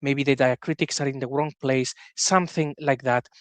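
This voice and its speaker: A man's voice: mid-range voice, steady tone, moderate pace, no echo. A man appearing as a guest on a podcast, explaining his views in a thoughtful, slightly hesitant way. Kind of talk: casual discussion.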